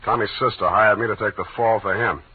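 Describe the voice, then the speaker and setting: An actor playing a wry, deadpan private detective in 1940s radio drama style, heard on an old, narrow broadcast. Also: male voice, fairly low pitch, fairly quick, slightly rough. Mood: tough, probing. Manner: deadpan, sardonic. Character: wry, hard-boiled male private detective.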